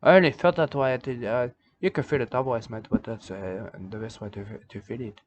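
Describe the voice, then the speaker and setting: Man voicing a rambling preacher, broken English, repeats himself, mid-pitched, muffled lo-fi sound. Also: brisk, slight background noise, lightly acted. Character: odd rambling male preacher of a nudist church. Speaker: self-styled church leader. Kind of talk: rambling comic monologue. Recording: dull lo-fi recording.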